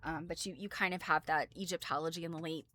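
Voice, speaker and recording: A woman's voice: low voice, steady tone, fast, bright, forceful tone. An American woman presenting quilt history casually as a host, chatty and self-deprecating, wandering off into asides.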